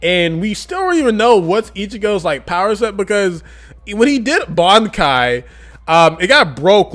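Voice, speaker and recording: A man's voice: high-pitched, fairly bright tone. A man giving a casual, chatty manga review, with lots of fillers and frequent laughs. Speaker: anime and manga YouTuber.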